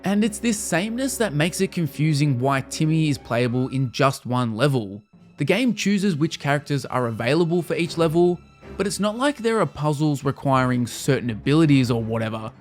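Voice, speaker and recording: A man's voice: a little high, animated, moderate pace, darkish tone, soft background music. A man narrating a scripted video game review in a casual, wry manner with the odd mild swear.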